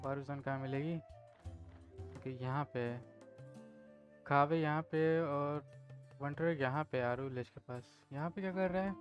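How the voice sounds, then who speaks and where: A man's voice: a little high, rapid-fire, long pauses, highs cut off, over music. A man from India with an Indian accent, giving casual commentary as a gaming streamer.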